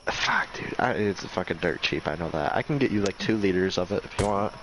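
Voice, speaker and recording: A young man from Michigan chatting excitedly in a voice chat, full of slang and quick to hype the other speaker up. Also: deep voice, noisy background. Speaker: gamer in voice chat. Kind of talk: casual chat.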